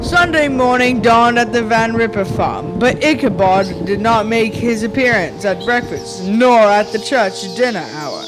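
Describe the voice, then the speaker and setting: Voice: medium pitch, expressive, a little slow, hardly any pauses, music in the background, lightly acted, poor audio. A young student narrating a ghostly tale for a school radio play in a formal, dramatic storytelling manner. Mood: measured. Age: child or young teen.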